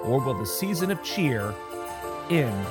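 A man's voice: medium pitch, very animated, unhurried. A man playing a grave, grandiose announcer, reading a dramatic scripted recap for an audio drama. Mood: ominous, grandiose. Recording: loud jingling music behind.

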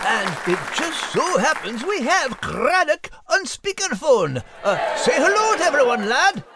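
A man voicing an excitable, literal-minded animal party host with a folksy Irish accent in a comic audio drama. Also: very high voice, unhurried, a loud crowd, very noisy, full character voice. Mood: puzzled, chatty.

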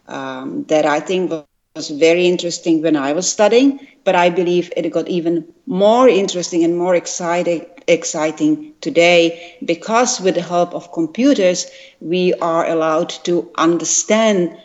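An older woman with a Czech accent speaks slowly and fairly low, explaining things with lots of pauses and fillers. Her voice comes through a dull, lo-fi recording. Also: darkish tone. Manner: hesitant, many fillers, careful explaining. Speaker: guest, retired quantum chemist. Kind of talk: interview answers. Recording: dull lo-fi sound.

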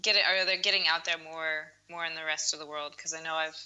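A woman's voice: low-pitched, steady tone, quick pace, bright, forceful tone, clean tone, rough recording. A woman from South Africa working in the wool industry, asking questions in a rambling, casual way. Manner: rambling, restarts phrases. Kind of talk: casual interview chat.

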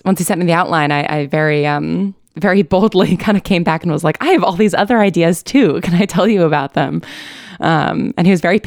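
A woman's voice: low-pitched, darkish tone, no room sound, studio quality. A woman talking casually and informally as an interviewed writer, chatty and given to laughing lightly as she speaks. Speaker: writer and co-producer being interviewed.

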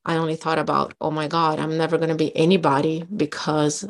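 A woman with a Brazilian Portuguese accent talks candidly on a podcast, her voice sitting low. She tells her story openly, leaning on fillers like "you know" and "like.". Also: little pitch movement, a little fast. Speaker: podcast co-host. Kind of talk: casual chat.

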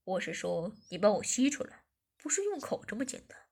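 A woman voicing a bossy, domineering man who taunts and gives orders in a lowered, husky voice. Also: very animated, brisk, a little mellow, heavily acted. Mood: taunting. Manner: taunting, commanding.

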